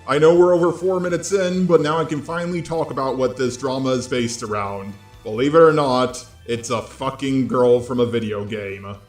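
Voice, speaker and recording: A man's voice: medium pitch, moderate pace, few pauses. Man narrating commentary in an echoey room. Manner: informal, blunt, addresses viewers directly. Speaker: host of online drama commentary videos. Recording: roomy echo with music underneath.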